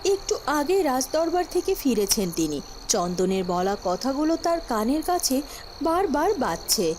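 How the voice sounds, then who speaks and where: High, animated woman storyteller. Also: a little slow, background noise. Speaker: narrator. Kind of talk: scripted fairy-tale narration.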